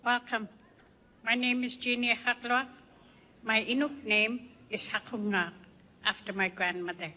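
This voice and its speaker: Slow, bright-voiced older woman in a hall. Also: on the high side, steady tone. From Canadian Arctic. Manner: slow, measured storytelling. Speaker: guest speaker. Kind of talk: personal testimony speech.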